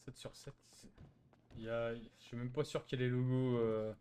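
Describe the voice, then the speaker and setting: A man's voice: medium pitch, fairly even intonation, normal speed, dark tone, a little hiss or hum. A man chatting casually while playing an online role-play game, grumbling and arguing. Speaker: gamer playing a troll robber. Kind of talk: casual improvised game chat.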